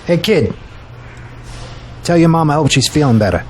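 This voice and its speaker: An actor playing a gruff but kindly middle-aged boss, speaking casually and hesitantly in an audio drama. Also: male voice, a little high, animated, normal speed, slight background noise, natural voice.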